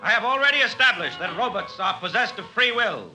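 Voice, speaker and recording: An insistent, formal lawyer argues in a high-pitched, very sharp voice. It is courtroom oratory in 1950s radio drama style, measured in wording and combative. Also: male voice, expressive, faint music behind, full character voice, rough recording. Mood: argumentative.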